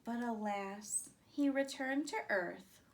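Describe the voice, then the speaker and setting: A woman in her thirties reading a children's picture book aloud with deliberate, expressive phrasing. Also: a little high. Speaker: storytime reader.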